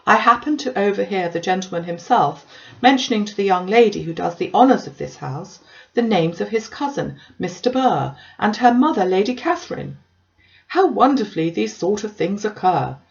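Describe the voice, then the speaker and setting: A woman's voice: mid-range voice, lively intonation, normal speed, vocal fry, highs cut off. A woman voicing a pompous, self-important and obsequious clergyman in an audiobook, wordy and formal. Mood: excited, self-important. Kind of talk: audiobook character dialogue.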